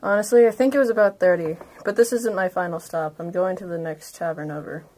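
A woman's voice: fairly low pitch, a little fast, dark tone, a little hiss or hum, poor audio. A woman talking casually as a player in a tabletop game, informal and assertive.

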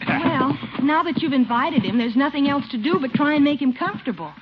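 An actress playing a sensible young niece, reproachful and exasperated, in a 1950s radio comedy. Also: female voice, high-pitched, animated, fairly quick. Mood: resigned. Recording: muffled, noisy 1950s radio recording.

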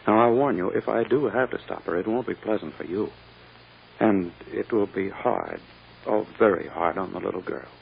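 An actor playing a smug, ruthless lawyer in a 1940s radio drama, speaking in a pompous, condescending, deliberate way. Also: male voice, fairly low pitch, creaky, slight background noise. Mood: coldly menacing. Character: smug, ruthless, silky male prosecuting lawyer. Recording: muffled old-time radio recording.

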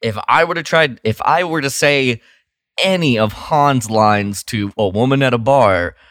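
A man's voice: mid-range voice, a little slow, a bit bright, dry recording, clean studio sound. A man co-hosting a casual podcast chat, speaking candidly with frequent "like" fillers and laughter. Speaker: podcast co-host.

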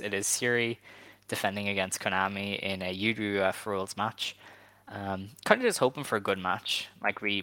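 Relaxed male podcast host with a low voice, hesitant and chatty, recorded dry and close. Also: no echo. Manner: relaxed, hesitant, many ums. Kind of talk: casual chat.

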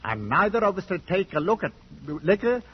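A boastful, wily British adventurer in a 1940s radio play. His voice sits fairly high and swings about theatrically, with colloquial grammar. The old recording is dull and narrow. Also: sing-song, moderate pace, husky, heavily acted. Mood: boastful, resolved. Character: Peachy, roguish British adventurer in colonial India, boastful and wily.

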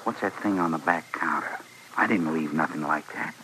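An actor playing a simple, curious small-town shopkeeper in a radio western, plainspoken and folksy, with a rural American accent. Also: male voice, extremely low pitch, moderate pace, sharp tone. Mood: hushed, puzzled. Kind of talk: 1950s radio western acting. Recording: faintly noisy vintage radio recording.

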